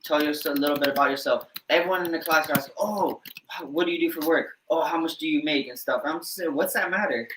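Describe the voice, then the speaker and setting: A man's voice: a little high, fast, a bit bright, slight background noise. A man co-hosting a casual podcast, telling his own story in an earnest way. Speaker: podcast co-host. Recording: echoey room.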